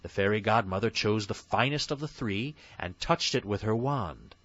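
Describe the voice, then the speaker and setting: Male bedtime-story narrator, very lively intonation, rough-edged tone, dull lo-fi recording. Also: on the low side, moderate pace, very noisy. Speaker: audiobook narrator. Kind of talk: scripted bedtime fairy tale narration.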